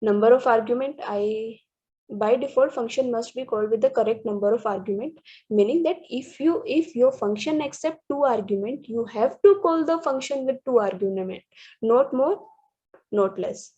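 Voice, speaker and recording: Woman presenter, husky and mellow, halting slide walkthrough, lo-fi sound. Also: on the high side. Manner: halting, restarts words, many fillers. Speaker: student presenter. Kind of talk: reading through programming slides. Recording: dull lo-fi recording.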